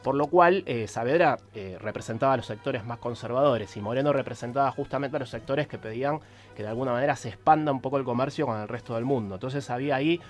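A man's voice: medium pitch, fast. Argentine man telling history over music. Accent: Argentine (Rioplatense). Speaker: radio history columnist. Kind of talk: explanatory historical storytelling for radio.

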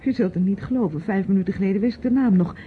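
A woman's voice: medium pitch, racing, natural voice. An actress playing an evasive, exasperated niece in her thirties in a radio drama, polite but hesitant and defensive. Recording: muffled, noisy old radio play recording.

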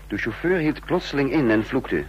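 An actor playing a tense, commanding conspirator and first-person narrator in a radio drama, emphatic and dramatic. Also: male voice, mid-range voice, animated, normal speed, lo-fi sound, close to an everyday voice. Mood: tense narration. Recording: noisy, humming old radio recording.

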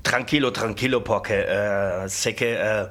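A man's voice: fairly low pitch, steady tone, measured pace, fairly bright tone. Man playing a laid-back German professor. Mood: relaxed. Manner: hesitant, full of ums. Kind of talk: comedy radio sketch.

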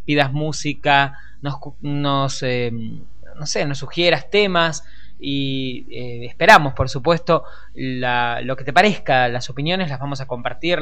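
An Argentine man with a Rioplatense accent hosting a conversational radio news show, improvising with frequent hesitant pauses. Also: mid-range voice, a bit bright, clear, resonant tone. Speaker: radio host.

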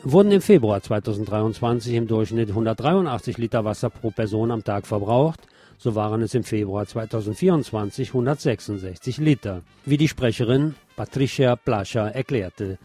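A man's voice: fairly low pitch, expressive, moderate pace, mellow, faint music behind. A man reading scripted radio news with some pointed commentary.

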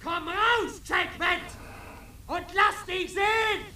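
Rebel leader: extremely high, piercingly bright, slow commanding calls, radio drama, heard from a distance with some noise. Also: full character voice. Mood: commanding, menacing. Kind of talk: radio play acting.

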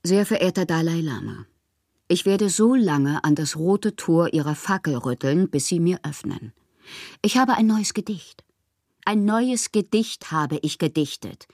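An actress playing a passionate, eccentric bohemian poetess, reading fanciful letters in a theatrical radio drama monologue with a breathy voice. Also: female voice, lowish voice, expressive, unhurried, darkish tone, no echo, studio quality. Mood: insistent, fervent. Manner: theatrical, dreamy, often slipping into breathy whispers. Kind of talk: dramatic literary monologue in a German radio play.

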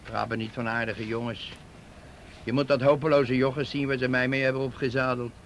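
A man's voice: mid-range voice, level tone, a little fast, a little hiss or hum, natural voice. A man playing a grumbling, scornful police detective in a Dutch radio play, talking emphatically.

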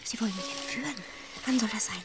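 A woman's voice: on the high side, quick pace, a bit bright, soft background music. An actress in a German radio drama playing a naive, lovestruck young society girl, eager and breathless. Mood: excited, resolute.

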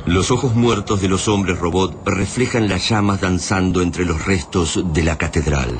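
A man with an Argentine accent acting as the grave, deliberate narrator of a science-fiction radio serial. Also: sits low, lightly acted. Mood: grave, ominous. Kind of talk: dramatic scripted radio narration. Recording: clearly noisy background ambience.

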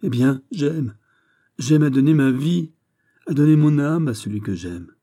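A man's voice: on the high side, expressive, a little slow, dark tone, voice pushed up. A man voicing an anguished, guilt-ridden young woman in love, imploring and impassioned in a dramatic storybook reading. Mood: fervent pleading. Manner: impassioned, imploring, breathless exclamations.

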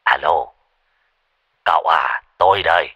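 A man's voice: rumbling low, a little slow, slightly rough, dry recording, highs cut off, pitched below the performer's own voice, exaggerated voice. A man playing a pompous, brusque village sorcerer who bosses people around. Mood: brusque, urgent. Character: blustering, foul-mouthed older male village sorcerer.

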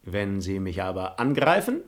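A man's voice: low voice, very animated, moderate pace. An actor playing an arrogant, hypocritical nobleman seducer in a radio play, with theatrical declamation. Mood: cool, challenging. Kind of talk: 1950s German radio play acting.

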